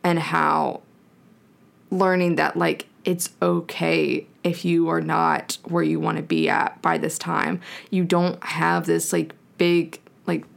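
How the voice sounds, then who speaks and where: A woman's voice: low-pitched, level tone, takes it slowly, gravelly. A woman turning thirty, co-hosting a podcast in casual, reflective chat that rambles with lots of "like". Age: 30s. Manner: reflective, rambling with many likes. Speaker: podcast co-host.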